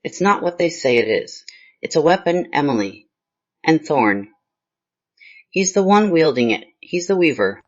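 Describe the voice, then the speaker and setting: A man's voice: on the high side, big pitch swings, measured pace, long pauses. A man playing a grave, fearful investigator mother in a screenplay reading. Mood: grave, urgent. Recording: slightly dull, lo-fi sound.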